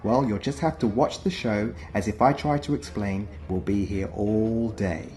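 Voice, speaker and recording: A man's voice: lowish voice, normal speed. A man reading scripted documentary narration for a countdown video. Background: music.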